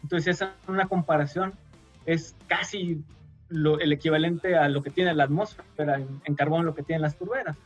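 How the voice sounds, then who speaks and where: A man from Mexico, a guest scientist on a radio show, explaining patiently with frequent pauses and fillers. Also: a little high, steady tone, muffled recording, soft background music.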